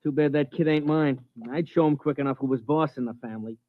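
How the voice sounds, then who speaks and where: Fast-talking card player played by a man. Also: fairly high pitch, dry recording, natural voice. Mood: blustering. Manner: colloquial, blustering. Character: blustering, henpecked working-man card player. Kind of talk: old-time radio drama acting. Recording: thin, phone-like old radio recording.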